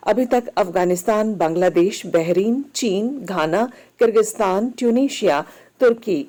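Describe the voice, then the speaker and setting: A woman reads a scripted regional news bulletin in a measured, formal manner. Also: medium pitch, warm tone. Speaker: news reader.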